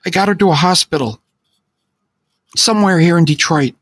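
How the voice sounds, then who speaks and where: A man's voice: high voice, a little slow, slightly rough, dry recording, voice pushed up, exaggerated voice. A man voicing a frightened fifteen-year-old boy who is crying, stammering and pleading. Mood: panicked, tearful.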